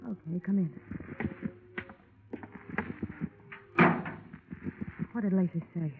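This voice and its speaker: An actress playing a guarded, anxious carnival snake charmer who asks terse questions in an old radio crime drama. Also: female voice, lowish voice, steady tone, fast, lightly acted. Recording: very noisy, tinny old radio recording.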